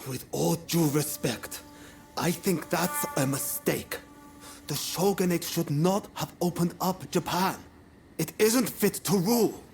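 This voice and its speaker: An actor playing a proud, defiant anti-shogunate samurai in a video game, speaking formally and vehemently. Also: male voice, fairly high pitch, a little slow, creaky, a little mellow, faint music behind. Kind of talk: dramatic video game acting.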